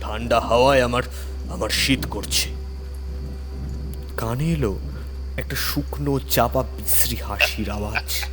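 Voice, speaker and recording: A man tells a horror story as its narrator. His voice sits at a medium pitch and his delivery is theatrical and full of suspense. Music plays clearly behind him. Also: a little slow, pauses often. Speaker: storyteller, first-person narrator. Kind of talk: dramatic scripted horror narration. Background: music under the voice.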